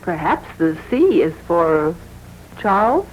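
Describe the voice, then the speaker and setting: An actress playing a kind, friendly hospital nurse in a 1940s radio drama, gentle and coaxing. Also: female voice, on the low side, sing-song, slow pace, lo-fi sound. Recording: faintly hissy 1940s radio recording.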